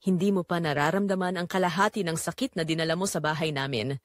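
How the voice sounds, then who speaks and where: A woman's voice: on the low side, fast, no echo, lightly acted. A woman voicing an angry, wounded adult daughter in an audio drama, speaking in a hard, accusing and commanding way. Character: angry wounded adult daughter confronting her father's mistress. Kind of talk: dramatic audio story dialogue.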